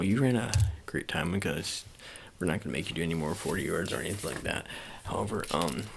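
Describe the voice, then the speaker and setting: A man doing an improvised ASMR roleplay as a sports agent, talking in halting, repetitive, murmured reassurances. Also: sits low, vocal fry, a little hiss or hum. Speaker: ASMR performer.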